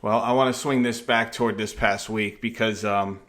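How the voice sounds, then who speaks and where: Lower-voiced man, halting podcast interviewer. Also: steady tone, fairly bright tone. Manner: halting, rambling lead-ins to questions. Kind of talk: conversational interview.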